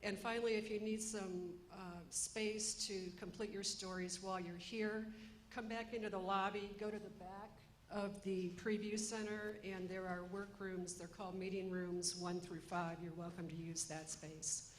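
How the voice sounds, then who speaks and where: A woman's voice: mid-range voice, little pitch movement, moderate pace. A woman coordinating a press event gives practical, instructive announcements to the media in a businesslike way. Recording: echoey room with background noise.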